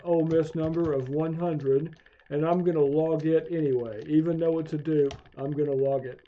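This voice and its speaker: A man giving an unscripted screencast tutorial, haltingly thinking aloud as he demonstrates software. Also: on the high side. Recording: dull, lo-fi voice recording.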